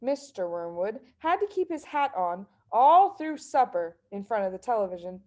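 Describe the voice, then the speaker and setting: A woman narrating a children's book as story reader, in an expressive read-aloud style. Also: medium pitch, moderate pace.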